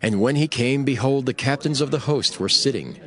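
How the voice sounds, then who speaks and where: A man narrating scripture for a dramatized audio production in a measured, declamatory manner. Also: medium pitch.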